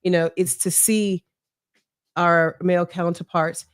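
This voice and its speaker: A woman's voice: on the low side, flat delivery, no echo, clean studio sound. A middle-aged woman with a strong voice, speaking earnestly and reflectively as an interview guest, often checking in with "right?". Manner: earnest, reflective, measured with frequent "right?" tags. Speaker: mayoral candidate guest. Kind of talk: candid interview talk.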